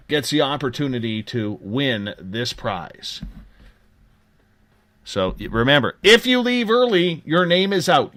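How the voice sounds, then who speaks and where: A man's voice: medium pitch, a bit bright, no room sound. Upbeat man hosting radio. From Canada. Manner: upbeat, joking promotional patter. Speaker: radio show host. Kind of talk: live late-night radio talk.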